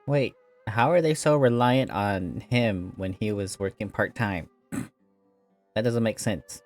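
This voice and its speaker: A man chats over a video game in a low voice, tossing in sarcastic, joking asides. His commentary is loose and casual. Game music plays faintly in the background. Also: slow. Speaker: gameplay streamer.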